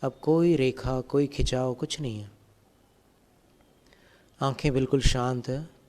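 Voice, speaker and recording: A man guiding a meditation as a spiritual teacher, giving calm, gentle, step-by-step instructions. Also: mid-range voice, takes it slowly. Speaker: Jain monk and meditation teacher.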